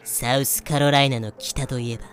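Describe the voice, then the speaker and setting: A woman's voice: very deep voice, fairly even intonation, brisk, faint music behind. A woman playing a bloodthirsty yet composed female pirate captain in an audio drama, commanding and teasing. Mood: calm, commanding. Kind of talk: fan-made audio drama acting.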